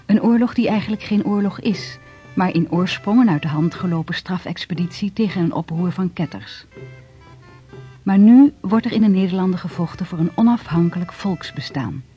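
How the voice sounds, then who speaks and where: A man's voice: high-pitched, normal speed, mellow. A man narrating a historical radio play in a solemn, declamatory manner. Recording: dull vintage radio recording with music.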